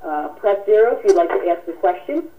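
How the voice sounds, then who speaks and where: A woman hosting a telephone town hall as a union president, speaking formally and politely with halting delivery while taking callers. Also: mid-range voice, very animated, narrow, tinny audio. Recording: distant radio-like telephone conference audio. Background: telephone conference call.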